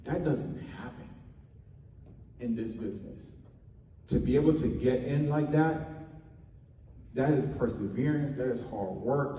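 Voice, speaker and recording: A man's voice: mid-range voice, warm tone, echoey room, in a large hall, distant mic, low-quality recording. A man from Trinidad giving an earnest, emphatic motivational talk at a media workshop. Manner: earnest, emphatic, with repeated phrases.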